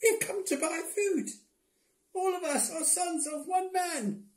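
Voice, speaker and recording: A man's voice: very high-pitched, lively intonation, slow pace, voice pushed up, exaggerated voice. A man voicing meek, frightened brothers pleading in whiny voices for a storybook-style dramatic reading. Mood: anxious pleading. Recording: roomy indoor echo.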